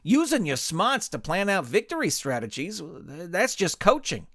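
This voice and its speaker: Man playing an earnest, high-pitched nervous coach. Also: very animated, measured pace, fairly bright tone, dry recording, studio quality, heavily acted. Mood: insistent. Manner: whimpering, insistent. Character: nervous male youth coach, earnest, pleading.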